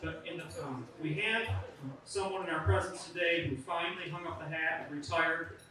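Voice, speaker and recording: A man's voice: on the high side, moderate pace. A man who is the president of an association hosts an in-person meeting and introduces the board, talking loosely with plenty of fillers. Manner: casual, loose, with many fillers. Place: meeting venue room. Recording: very echoey room, distant mic, crowd behind.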